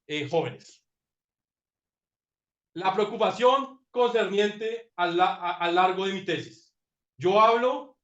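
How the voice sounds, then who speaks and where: A man presenting his doctoral thesis in a master class, talking reflectively with frequent fillers and repeated words. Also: high-pitched. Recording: roomy, dull lo-fi sound.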